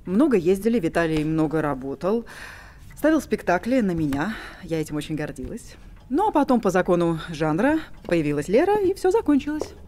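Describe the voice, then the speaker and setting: An actress in her forties playing a poised, bitter abandoned wife in a film drama, with a measured, theatrical delivery. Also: on the low side, sing-song, mellow. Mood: wistful, bitter. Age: 40s.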